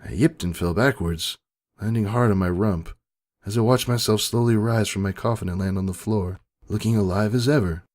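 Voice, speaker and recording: A man's voice: on the low side, normal speed, a little mellow. A man reading scripted first-person story narration in a dramatic, emotive way.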